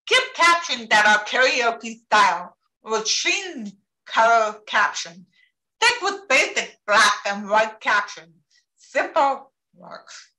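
A woman with a deaf accent presents over a video call, her voice bright and her pitch rising and falling a lot. She speaks carefully, and the room around her sounds echoey. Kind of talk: live talk on captioning. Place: home office with bookshelves.

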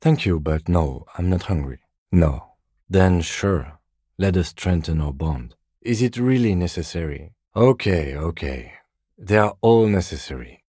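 An actor playing a composed, dry-witted, by-the-book middle-aged police detective in a video game, speaking in a measured, precise, deadpan way. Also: male voice, low voice, husky, no echo, exaggerated voice. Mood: measured, serious. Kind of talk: video game character dialogue.

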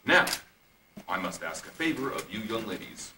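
A man's voice: lowish voice, big pitch swings, normal speed. A man playing a courtly old wizard in an amateur puppet show, formal and theatrical. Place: live puppet show at a convention. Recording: echoey, noisy camcorder from far off.

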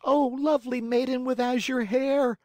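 A man voicing a frantic wooden puppet boy who cries out in high, breathless, beseeching pleas. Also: expressive, a little slow, darkish tone, dry recording, full character voice. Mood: desperate pleading.

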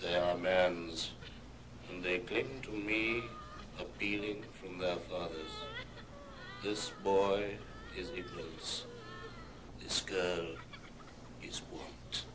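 An actor playing an aged, solemn, foreboding spirit with grave, declamatory delivery in an old radio drama. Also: male voice, low-pitched, fairly even intonation, takes it slowly, pauses often, noisy background. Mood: stern warning.

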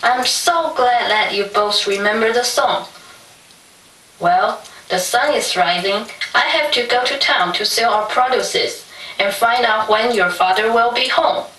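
A woman playing a caring, cautious mother in a puppet show, instructive and deliberate. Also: on the high side, sharp tone. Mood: caring, cautioning. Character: caring, cautious mother of two young girls. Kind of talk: puppet show stage acting. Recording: echoey room from far off, faint hiss.

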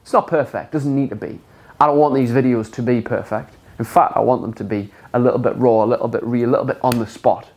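A man in his thirties speaks straight to the camera in an unscripted motivational talk, his nose blocked and stuffy. He is blunt and emphatic and swears freely. His voice sits in a mellow, dark register of middling pitch. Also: fairly even intonation, quick pace. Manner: frank and emphatic, swears often. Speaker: motivational vlogger. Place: indoor studio with brick wall.

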